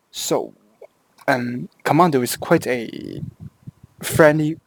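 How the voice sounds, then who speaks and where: Halting Hong Kong English man. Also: mid-range voice, no echo, poor audio. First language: Cantonese. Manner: halting, with frequent restarts and self-corrections. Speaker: gameplay guide creator. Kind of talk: game tutorial voice-over.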